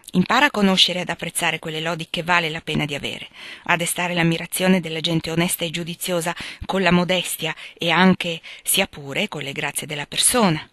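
A woman reading a storybook aloud, voicing a calm, serious mother who speaks softly. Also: lowish voice, dry recording, natural voice.

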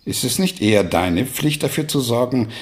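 An actor in a German radio drama playing a stout, blunt old father who urges deliberately, with pointed pauses. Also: medium pitch, lively intonation, natural voice. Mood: insistent, reproachful. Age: older.

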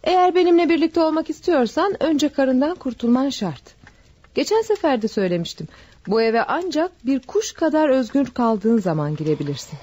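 A woman's voice: sits high, moderate pace. An actress in a radio drama playing a young woman who coaxes her lover and stays firm. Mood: coaxing. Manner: calm, coaxing and firm. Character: alluring young woman from a forest cabin. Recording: dull old radio recording with music.

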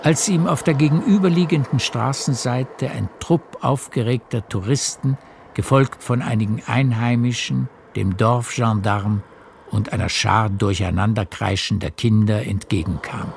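A man narrating a literary radio play in a measured storytelling manner. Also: medium pitch, vocal fry, slight background noise. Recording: music behind the narration.

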